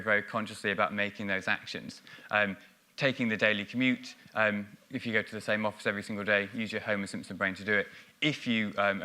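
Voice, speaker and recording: A man with a lowish, forceful, bright voice gives a conference talk on stage. He explains his points step by step and often pauses on "um" or restarts a phrase. He is speaking into a stage microphone. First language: English. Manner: explanatory, frequent ums and restarts. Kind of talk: business conference presentation.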